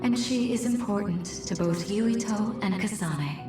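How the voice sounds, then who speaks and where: Slow, echoing ghostly machine mother played by a woman. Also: medium pitch, lightly acted. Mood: solemn, coaxing. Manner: slow, solemn, measured pleading. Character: machine posing as a gentle dead mother, calm and coldly manipulative. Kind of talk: video game cutscene acting. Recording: very echoey, distant voice, music behind.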